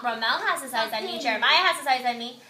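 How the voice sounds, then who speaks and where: A woman teacher reading a picture book aloud to young children expressively, pausing to explain simply, ask questions, praise and redirect. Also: on the high side, pushed and bright. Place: indoor classroom with young children. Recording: echoey classroom, noisy, with clicks.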